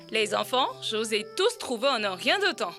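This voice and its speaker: Eager owl with lively, high voice. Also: female voice, exaggerated voice. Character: eager, friendly owl who sings at night. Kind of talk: children's educational cartoon acting.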